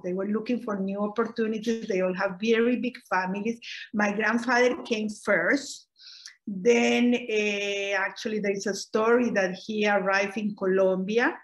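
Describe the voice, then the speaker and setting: A woman's voice: medium pitch, poor audio. Middle-aged Costa Rican woman recalling family history. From Costa Rica. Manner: unhurried, frequent "eh" hesitations and self-corrections. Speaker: oral history interviewee. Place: home room, indoors. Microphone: video call webcam.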